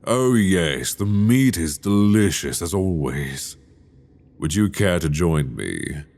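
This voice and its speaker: A man's voice: sing-song, slow pace, darkish tone, exaggerated voice. A man playing an imprisoned fallen king in an intimate ASMR roleplay, possessive, menacing and tenderly doting, with a seductive, regal, condescending manner on a close binaural microphone. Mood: amused, haughty. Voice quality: very deep, gravelly.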